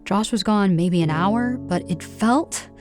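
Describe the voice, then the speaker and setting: A woman's voice: medium pitch, takes it slowly, music in the background, close to an everyday voice. A woman playing a hurt, stubborn young woman with a dry, pointed manner, in naturalistic audio drama style. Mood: brooding narration.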